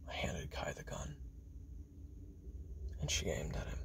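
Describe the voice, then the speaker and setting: Man playing a gravelly, very deep whispering assassin. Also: exaggerated voice. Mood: somber. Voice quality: gravelly, breathy, half-whispered. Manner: slow, halting, hushed, with long pauses. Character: weary male assassin recounting his memoirs on tape, grim. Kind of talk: slow confessional audio roleplay monologue.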